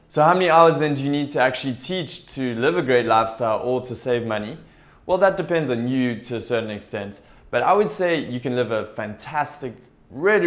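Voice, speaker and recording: A young man talking straight to camera in a small room, explaining things in a steady, upbeat way. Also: fairly low pitch. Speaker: TEFL recruiter and vlogger. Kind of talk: direct-to-camera advice talk. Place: small indoor room with shelves.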